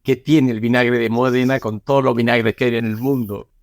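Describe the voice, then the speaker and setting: A man's voice: mid-range voice, steady tone, moderate pace, no room sound. Dry-sounding male podcast host. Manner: conversational, gives short backchannels. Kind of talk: casual podcast interview.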